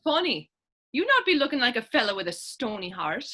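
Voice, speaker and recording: Female actor as determined Irish actress, crisp bright tone, lively lilting pitch, earnest, coaxing, spirited, stage drama. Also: fairly quick, heavily acted. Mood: teasing. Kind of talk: stage readers theater dialogue.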